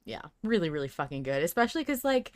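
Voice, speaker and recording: A woman's voice: deep voice, very animated, quick pace. Effusive woman chatting on a fan podcast. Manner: informal, effusive, sweary. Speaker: podcast co-host. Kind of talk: casual fan chat.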